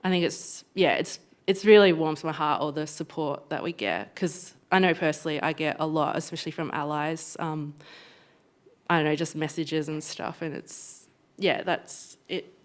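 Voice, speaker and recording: A woman's voice: low voice, moderate pace. A woman answering on a panel, hesitant with many fillers. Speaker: guest business owner. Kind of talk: panel discussion.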